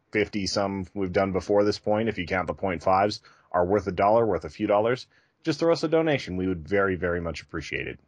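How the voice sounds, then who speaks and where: A man's voice: sits low, dry recording. A man co-hosting a podcast, talking in a casual, conversational style to his listeners. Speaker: podcast co-host.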